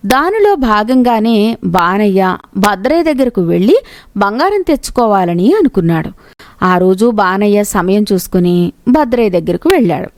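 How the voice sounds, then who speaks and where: A woman's voice: medium pitch, very animated, moderate pace, a little mellow, no room sound. A woman narrating a scripted moral story.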